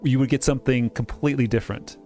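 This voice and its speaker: A man hosting a game playthrough, chatting casually in a halting way and reading text aloud. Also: medium pitch, fairly even intonation, mellow, faint music behind.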